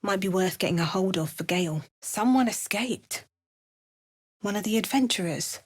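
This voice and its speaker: A woman playing an adventurous, wry and watchful spellcaster in a video game, with crisp, measured delivery. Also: medium pitch, expressive. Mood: watchful, musing. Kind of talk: scripted video game character lines.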